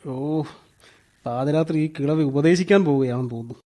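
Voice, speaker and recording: A man's voice: fairly high pitch, normal speed, warm tone, close to an everyday voice. An actor playing a nervous burglar in a radio play, muttering to himself as he sneaks through a house, then pleading when caught.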